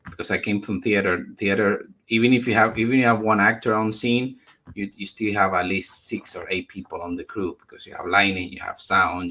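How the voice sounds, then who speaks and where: Low, bright-voiced man in his forties. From Spain, raised in the United States. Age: 40s. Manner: halting, repeats words while searching for phrasing. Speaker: interview guest, comic book creator. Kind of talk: conversational interview answers.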